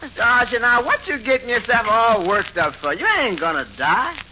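Muffled and noisy like old 1940s radio, this is a wry tavern waiter. He speaks high, with a folksy, easygoing skepticism and a dry way of deflating things. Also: animated, moderate pace, sharp tone, full character voice. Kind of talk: 1940s radio comedy acting.

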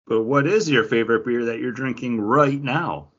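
A man chats casually as a podcast co-host, bantering and joking as he goes. His voice is a bit rough around the edges and medium in pitch. The recording is somewhat muffled and lo-fi. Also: normal speed, fairly bright tone. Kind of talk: casual chat about beer and the show.

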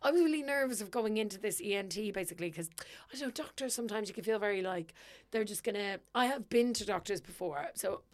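A young woman co-hosting a podcast, chatting casually and telling stories, swearing freely, her voice sometimes a little gravelly. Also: mid-range voice, fast. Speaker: podcast co-host. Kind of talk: casual chat.

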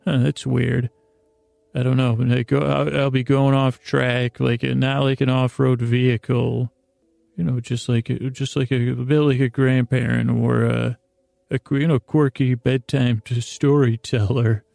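Man with heavily creaky voice, rambling soothingly. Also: medium pitch, little pitch movement, a little mellow, no echo. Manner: halting, meandering, with repeated stammered words and self-interruptions. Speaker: host of a bedtime-story podcast. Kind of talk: rambling, soothing bedtime monologue.

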